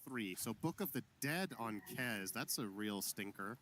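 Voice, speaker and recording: A man's voice: lowish voice, animated, measured pace, sharp tone, a little hiss or hum. A man giving analytical color commentary on an esports match, explaining item choices.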